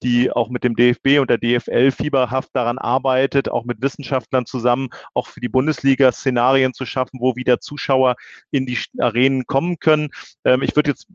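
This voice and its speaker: A male interviewer asks long, formal questions, often pausing with fillers as he searches for words. His voice sits at an ordinary pitch and sounds completely dry. The recording is dull and lo-fi. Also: little pitch movement, dry recording. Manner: formal but hesitant, many fillers.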